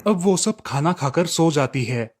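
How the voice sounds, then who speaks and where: A man's voice: fairly high pitch, lively intonation, unhurried, a little mellow. A man reading scripted storybook narration for a cartoon tale.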